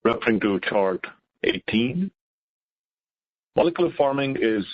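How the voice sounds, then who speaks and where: A man's voice: medium pitch, no echo. A middle-aged man presenting as a chief science officer, reading scripted remarks on an investor conference call. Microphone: conference call line. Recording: slightly dull conference-call audio.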